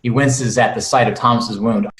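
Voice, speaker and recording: A man's voice: medium pitch, fairly even intonation, normal speed, a bit bright. Male script narrator in echoey room. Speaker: narrator reading stage directions at a table read.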